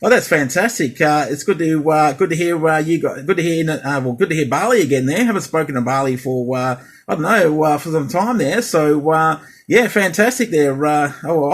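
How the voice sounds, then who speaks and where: A man's voice: on the high side, expressive, moderate pace, fairly bright tone. A man in a casual radio chat as a CB operator, speaking hesitantly and repeating himself. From Australia.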